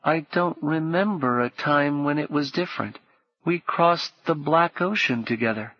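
A man voicing a frightened, grieving young boy who speaks softly and haltingly through tears. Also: a little high, no room sound, lo-fi sound, voice pushed up. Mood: dazed. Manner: tearful, halting, soft.

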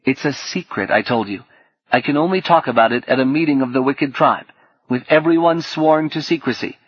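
Man as an earnest, higher-pitched teenage boy. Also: brisk, slightly rough, no echo, muffled recording, lightly acted. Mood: insistent.

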